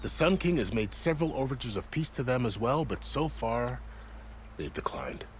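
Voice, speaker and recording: An actor playing a grave, courteous gate commander in a video game, speaking in a measured, formal manner. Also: male voice, medium pitch, animated, a little hiss or hum, lightly acted, rough recording. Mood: measured, informative. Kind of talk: game cutscene dialogue.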